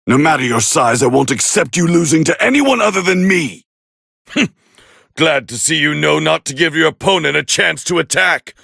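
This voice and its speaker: A man playing a proud, hot-tempered alien warrior prince with a raspy voice, calling fights with scorn in an anime video game dub. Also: on the high side, no room sound, clean studio sound, full character voice. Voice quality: gravelly, raspy. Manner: haughty, scornful, biting, forceful. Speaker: fight commentator character.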